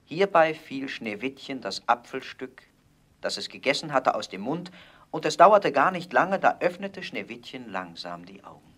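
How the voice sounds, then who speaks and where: Male storybook narrator, measured delivery. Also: medium pitch, sing-song, fairly bright tone. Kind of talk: scripted fairy-tale narration.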